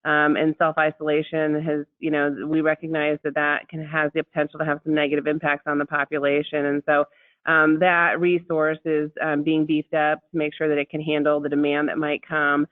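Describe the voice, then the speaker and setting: An American woman official explains an update over a thin, phone-like conference line. Her delivery is very flat in pitch and hesitant, pieced together as she goes. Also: moderate pace, dry recording. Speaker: state health official. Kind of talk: unscripted conference call update.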